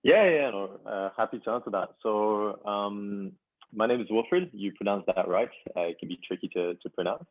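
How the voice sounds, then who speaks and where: A young man from France, a startup founder, answering interview questions casually and haltingly, with many fillers. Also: on the low side, no room sound. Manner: halting, full of "you know" fillers. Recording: dry, tinny phone-like call line.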